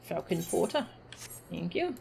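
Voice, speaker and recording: A woman streaming a game, making quick playful asides and reading aloud. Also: fairly low pitch, very animated, vocal fry, darkish tone, a little hiss or hum. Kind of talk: casual live gameplay chat.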